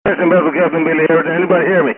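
A distressed man speaking haltingly as a boat captain making a distress call over marine radio. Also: on the high side, flat delivery. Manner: halting, repetitive. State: agitated, distressed. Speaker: fishing boat captain calling mayday. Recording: phone-like radio transmission, busy background voices.

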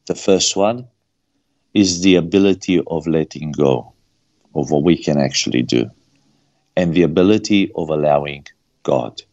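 Romanian-accented male guest, very low voice, earnest and repetitive, sermon-like delivery. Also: moderate pace, pauses often, darkish tone, lo-fi sound. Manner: earnest, repeats phrases for emphasis. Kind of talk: preaching-style interview answer.

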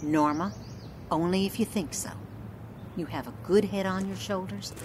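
A woman playing a calm, supportive mother of a teenage girl in an audio drama. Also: mid-range voice, normal speed, background noise, close to an everyday voice. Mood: gentle, reassuring. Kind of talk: scripted audio drama dialogue.

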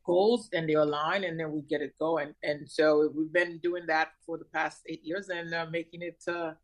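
A woman's voice: low voice, level tone, moderate pace. A woman in her forties from Haiti, a guest on an interview show, talking about her career in an enthusiastic, chatty way with frequent laughs. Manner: warm, enthusiastic, laughs often, many fillers. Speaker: guest, cybersecurity company founder. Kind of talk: conversational interview.

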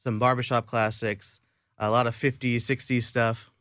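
A man's voice: medium pitch, level tone, normal speed, fairly bright tone, no room sound. A young man in his early twenties, the leader of a vocal quartet, speaking as a studio guest on a radio show. His answers are short and good-humored, and his voice sits in the middle range. The sound is close and dry. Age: early 20s. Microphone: studio microphone.

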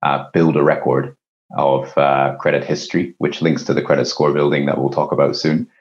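A man's voice: a bass voice, flat delivery, normal speed, highs cut off. A man from Japan speaking as an executive guest, explaining things conversationally in an interview. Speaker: company CEO, podcast guest.

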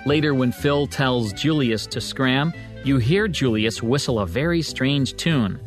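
A man's voice: mid-range voice, unhurried, soft background music. A man with an American accent hosting a radio show in scripted, co-hosted commentary.

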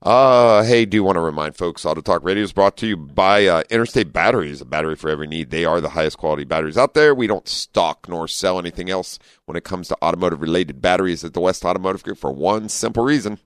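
A man with a deep voice hosting a call-in car radio show, talking in a friendly, chatty way with lots of fillers. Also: expressive, fast talker, few pauses, clean tone, dry recording, clean studio sound. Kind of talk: casual talk radio hosting. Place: radio studio. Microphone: studio microphone.

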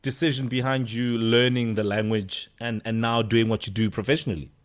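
A man's voice: medium pitch, level tone, a little fast. A man hosting a casual interview, asking conversational questions and affirming the guest with many fillers. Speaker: host.

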